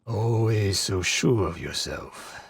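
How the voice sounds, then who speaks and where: An actor playing a stern, bitter, distrustful older samurai archery master, curt and commanding, in a dramatic video game scene. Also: male voice, fairly low pitch, lively intonation, slow pace, no echo, clean studio sound, heavily acted. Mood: grim, suspicious. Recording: clear noise of outdoor game ambience.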